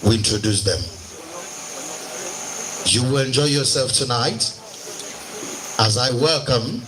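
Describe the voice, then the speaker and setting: A man's voice: medium pitch, slow. A man with a Nigerian Igbo accent hosting a live stage event as master of ceremonies, switching between Igbo and English in showy announcer phrasing. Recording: noisy live event over a microphone.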